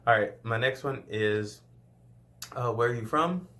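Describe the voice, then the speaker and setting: A man's voice: medium pitch, unhurried, pushed and bright. A man in his late twenties from Louisiana, chatting casually through a Q&A for his first vlog, with frequent laughs and rambling asides. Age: 20s. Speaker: vlogger.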